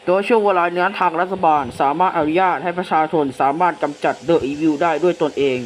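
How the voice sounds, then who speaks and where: A man's voice: high voice, natural voice. Man as a solemn emergency broadcast announcer, noisy recording. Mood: solemn, official. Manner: formal, measured official reading. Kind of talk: scripted analog horror announcement narration. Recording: clearly noisy hissing background.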